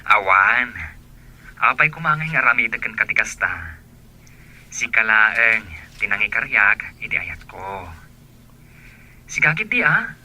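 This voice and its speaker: A devoted, faintly jealous boyfriend in an Ilocano radio drama. His voice is lowish and husky with a very sharp, bright edge and an Ilocano accent. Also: sing-song, a little slow, long pauses, full character voice, rough recording. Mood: jealous, tender.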